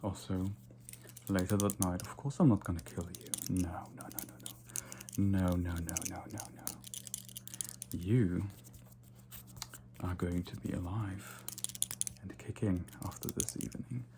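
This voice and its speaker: Man playing a low, unhurried ASMR vampire. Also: steady tone, background noise. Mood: smug, flirtatious. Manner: soft-spoken, unhurried, repeats words in whispers. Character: vain, condescending male vampire, flirtatious and nonchalant. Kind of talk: ASMR roleplay.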